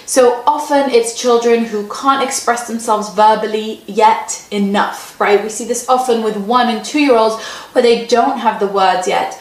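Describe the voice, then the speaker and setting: A woman's voice: on the high side, measured pace. A woman giving parenting advice in a conversational way, often checking in with "right?" as she explains. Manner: conversational, often adds "right?" tag questions. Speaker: parenting coach. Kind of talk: advice talk answering a parent's question. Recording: roomy echo.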